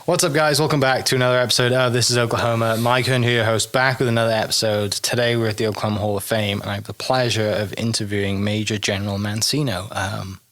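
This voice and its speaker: A man's voice: fairly low pitch, fairly even intonation, quick pace, few pauses, a bit bright. A man hosting an interview podcast, chatting casually in a laid-back, friendly, informal way.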